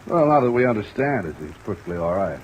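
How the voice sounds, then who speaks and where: An actor playing an easygoing, reassuring police lieutenant in an old radio drama, hesitant and folksy. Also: male voice, lowish voice, sing-song, fast talker, muffled recording. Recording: vintage radio recording, rain noise.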